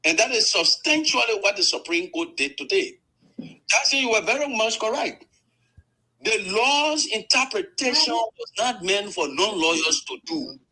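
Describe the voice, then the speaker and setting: A man's voice: high voice, expressive, pushed and bright, rough recording. A man, a lawyer making a formal legal argument on a panel show. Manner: measured, formal courtroom phrasing.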